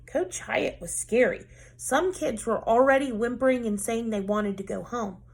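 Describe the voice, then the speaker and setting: Woman with a gravelly voice, reading a children's book. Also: a little high, moderate pace. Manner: expressive, lively storytelling. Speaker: teacher reading aloud. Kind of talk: children's book read-aloud.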